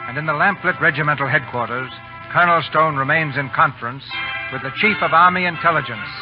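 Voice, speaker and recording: A man's voice: a little high, quick pace. A man hosting an old-time radio drama, narrating formally from a script. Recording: dull old radio recording. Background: music.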